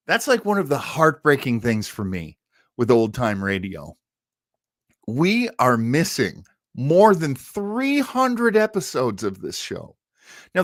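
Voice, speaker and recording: A man hosting a livestream, chatting casually to his audience as an enthusiastic, animated fan. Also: mid-range voice, no echo, studio quality. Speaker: livestream host. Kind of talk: casual chat to live audience.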